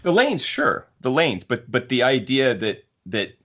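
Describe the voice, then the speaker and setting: A man's voice: mid-range voice, very animated, measured pace, a bit bright, dull audio. A man co-hosting a podcast in casual fan discussion, musing aloud and circling back to rephrase his points. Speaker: podcast co-host.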